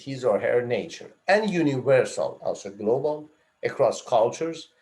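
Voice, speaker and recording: A man giving an online psychology lecture, repeating and rephrasing his points and listing items one after another, with emphatic rises and drops in pitch. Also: darkish tone. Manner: repeats and rephrases phrases, lists items in runs. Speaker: psychology instructor.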